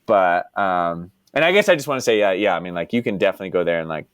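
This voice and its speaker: A man's voice: low-pitched, lively intonation, fast talker, clean tone, no echo. Laid-back young man from Texas, dry mic. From Dallas, United States. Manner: rambling, heavy use of "like" and "you know". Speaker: podcast guest. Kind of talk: casual interview chat.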